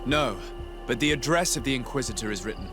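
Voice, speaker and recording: An actor playing a composed young noble diplomat in a video game, formal, articulate and courteous. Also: male voice, mid-range voice, lively intonation, fast, music in the background, natural voice. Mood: serious, considering.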